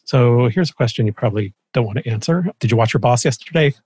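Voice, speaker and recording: A man's voice: mid-range voice, fast, a little mellow, slightly rough. A man interviewing casually as a podcast host, thinking aloud conversationally.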